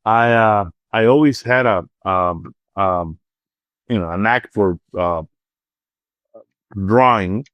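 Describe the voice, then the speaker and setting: A man's voice: lowish voice, slow pace, pauses often, no echo, highs cut off. A middle-aged man with a Salvadoran accent answering interview questions conversationally, often repeating words as he searches for phrasing. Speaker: interview guest, artist and entrepreneur.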